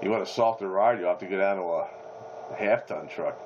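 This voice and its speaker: A man's voice: low-pitched, a little fast. An American man talking casually as an operator over CB radio. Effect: CB radio transmission. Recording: noisy muffled CB radio speaker.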